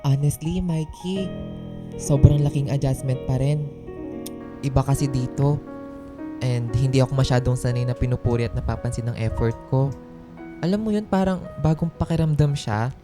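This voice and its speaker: A woman's voice: a bass voice, normal speed, long pauses, over music. A woman playing an earnest, self-doubting young office worker in a radio drama, speaking heartfelt, conversational Tagalog-style lines with a Filipino accent. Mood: hesitant, reflective.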